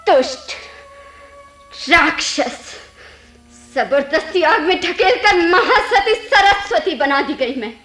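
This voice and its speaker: An actress playing a young ghost woman in a radio drama, pleading and bitter, with a breathy, dramatic delivery that drops into whispers. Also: extremely high pitch, animated, slow, sharp tone, soft background music, exaggerated voice. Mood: bitter, anguished. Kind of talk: Hindi radio play acting.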